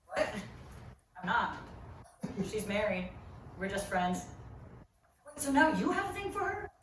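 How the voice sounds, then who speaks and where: Woman as earnest evasive young filmmaker guy, high voice, live comedy read, distant mic. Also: sing-song, a little slow. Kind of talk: live comic table-read acting. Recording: echoey noisy room, distant mic.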